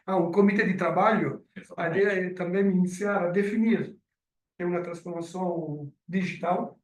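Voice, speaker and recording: A man's voice: sits high, fairly even intonation, normal speed. A middle-aged man from Italy, with an accent mixing Italian and French, giving a hesitant business presentation with many fillers. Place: meeting room. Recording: roomy sound from a distant mic.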